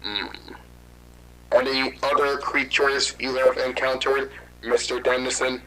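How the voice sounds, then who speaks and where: Government interviewer, voiced by a man, formal, measured, bright voice, amateur horror drama. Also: mid-range voice, level tone, a little hiss or hum, low-quality recording. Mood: calm.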